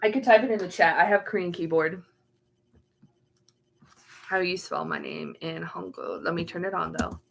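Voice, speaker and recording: A woman's voice: on the low side, very animated, normal speed, vocal fry, pauses often, slight background noise. A young woman streaming and casually answering chat, often trailing off while searching for words.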